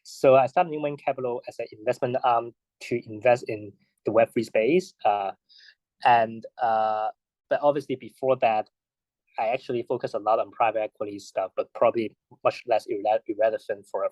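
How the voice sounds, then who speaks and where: A man in his thirties from Hong Kong, a guest answering interview questions in a halting way with many restarts and fillers. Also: fairly low pitch, steady tone, darkish tone, no room sound, rough recording. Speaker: guest, investor.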